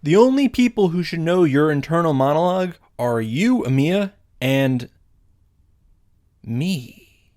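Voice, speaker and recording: A man's voice: fairly high pitch, animated, measured pace, a little mellow. A man hosting a casual scripted recap of a visual novel, with a wry, joking delivery. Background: faint music.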